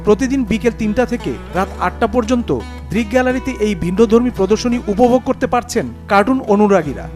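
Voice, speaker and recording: A male reporter narrates a news feature in standard Bangladeshi Bengali. His voice sits high for a man, and soft music plays behind it. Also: brisk.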